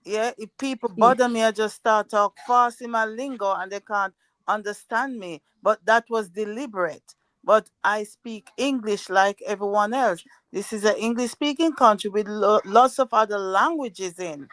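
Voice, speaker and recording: A woman's voice: medium pitch, normal speed, a bit bright, no echo. An older woman from Jamaica with a Jamaican accent, talking plainly and bluntly in a casual livestream chat. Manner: plainspoken, blunt, repeats words for emphasis. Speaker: guest, host's mother.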